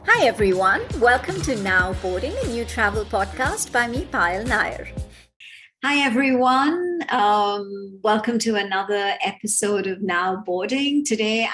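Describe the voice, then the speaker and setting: A woman hosts a podcast at an unhurried pace, with a medium-pitched voice. Her delivery is conversational and a little halting as she introduces and asks questions. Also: fairly bright tone. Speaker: podcast host, interviewer.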